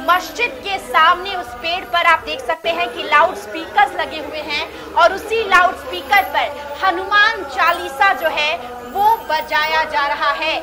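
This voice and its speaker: Very high-pitched emphatic female news anchor. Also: a little slow, few pauses. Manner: emphatic, repeating phrases for emphasis. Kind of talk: excited breaking news voice-over commentary. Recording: music and crowd noise behind voice. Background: loud music and crowd sounds.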